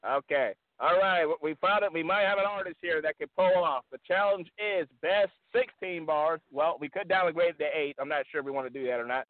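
Fast excitable radio host guy, repeats himself a lot, higher voice, dry lo-fi sound. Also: dry recording. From Norfolk, Virginia. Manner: repeats words, excitable, laughs often. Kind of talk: casual radio chat. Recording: dry phone-like lo-fi audio.

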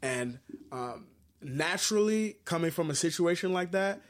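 A man's voice: a little high, expressive, normal speed. A man from Haiti who is a musician, talking earnestly and reflectively in a casual interview, with many fillers and restarts. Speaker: musician guest.

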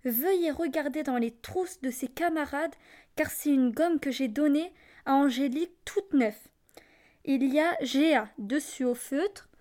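A woman's voice: sits high, measured pace, a little mellow. A young female amateur actor playing a fussy, formal, haughty mother in a theatrical letter reading. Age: teenager or young adult student.

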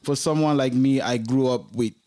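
A man from Cameroon talking on the radio in an earnest, halting way, often repeating words. His voice sits at a middle pitch. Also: a little mellow. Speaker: radio co-presenter. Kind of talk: casual radio chat.

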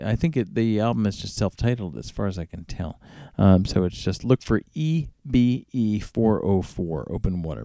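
Middle-aged radio dj guy, low gravelly voice, chatty and rambly, spells out names, dry close mic. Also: mellow, no echo. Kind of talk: casual back-announcing of a music radio show.

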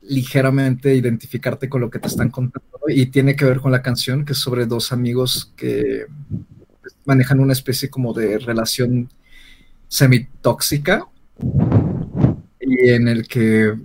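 Male podcast panelist, medium pitch, conversational, searches for words as stories unspool. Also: flat delivery, a little slow, a little mellow, slight background noise, low-quality recording. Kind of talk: casual chat.

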